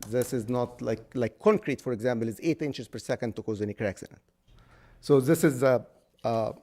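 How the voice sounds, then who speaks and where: Man explaining vibration data at a hearing. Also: medium pitch. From Egypt. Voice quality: loud voice. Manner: explanatory, with frequent restarts and fillers. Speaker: engineering consultant. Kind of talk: public hearing testimony.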